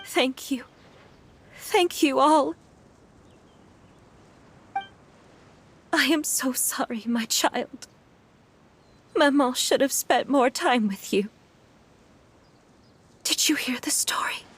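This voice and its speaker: Tender, slow-speaking frail mother. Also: sits high, a bit bright, heavily acted. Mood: tearful regret. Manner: halting, repetitive, breathy emotional delivery. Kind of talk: English game voice acting.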